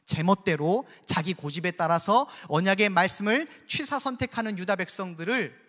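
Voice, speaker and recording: A man's voice: high voice, normal speed, a bit bright. Emphatic preaching man in a reverberant sanctuary. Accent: Seoul Korean, trying to hide his Jeolla dialect. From Jeolla region, Korea. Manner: emphatic preaching, rhetorical questions to the congregation. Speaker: pastor. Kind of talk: sermon. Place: church sanctuary. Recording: long reverb of a church sanctuary.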